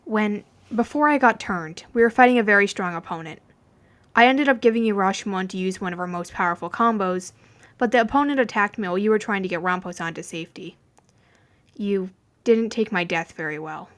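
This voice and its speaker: A young mafioso from the future, played by a woman, speaks in an audiobook story. He is stern, yet gentle and reassuring with those he trusts. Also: medium pitch, fairly quick, natural voice. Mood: calm, explaining.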